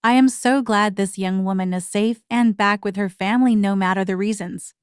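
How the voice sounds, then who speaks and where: A woman's voice: medium pitch, fairly even intonation, normal speed, clean tone, no echo, clean studio sound. A woman hosting a reaction commentary channel, speaking bluntly and mockingly in a scripted way.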